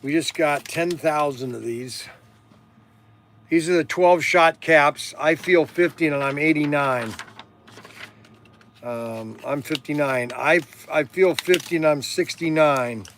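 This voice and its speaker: A man in his sixties chats casually as the host of a livestream. His voice sits a little high for a man and he takes his time, speaking slowly. He rambles in a halting way, circling back over phrases and stumbling on words. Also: a bit bright, a little hiss or hum. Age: 60s. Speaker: livestream host selling hobby toys and model cars.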